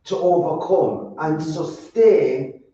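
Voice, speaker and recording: Yorkshire woman panelist, unhurried, halting, recorded far off in a boomy hall. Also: mellow. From Yorkshire, England. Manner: earnest, halting, repeats and restarts words. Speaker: roundtable panelist. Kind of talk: roundtable discussion. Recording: echoey, distant, dull sound.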